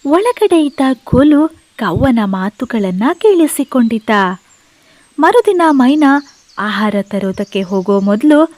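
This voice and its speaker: A woman's voice: high voice, very animated, unhurried, darkish tone, no echo. A woman narrating a scripted bedtime story for children as a storyteller.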